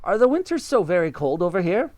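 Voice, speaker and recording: A man's voice: sits high, very animated, quick pace, darkish tone, no room sound, voice pushed up. A man voicing a polite father monkey who asks anxious questions.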